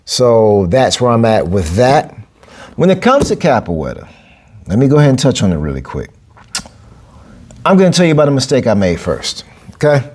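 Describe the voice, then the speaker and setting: A man, talking through a casual solo podcast. He sounds like a coach laying things out plainly, repeating phrases and leaping up in pitch to stress a point. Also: huge pitch swings, normal speed, long pauses, a little mellow. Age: 40s. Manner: emphatic, repeats phrases, big pitch jumps for emphasis. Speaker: podcast host, boxing and capoeira coach.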